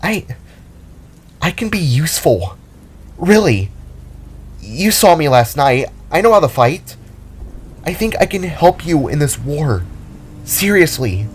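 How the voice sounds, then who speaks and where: A man's voice: on the high side, sing-song, slow pace, pauses often, breathy edge, voice pushed up, full character voice. A man voicing a determined, caring young woman, a guitarist and fighter, in an earnest, rambling, pleading way for an audio drama. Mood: pleading, insistent.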